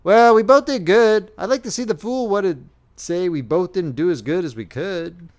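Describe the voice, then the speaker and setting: Male reader playing hot-headed young private, country speech, pitched up. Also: big pitch swings, normal speed, clean tone. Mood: soothing. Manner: rustic, exasperated. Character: young male soldier, the youth, easily riled.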